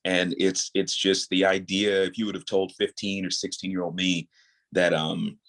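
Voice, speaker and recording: A man speaking as a guest in a casual interview, earnest and reflective, building his thoughts in halting phrases. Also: low-pitched, little pitch movement, fairly bright tone. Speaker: podcast guest, audio drama creator.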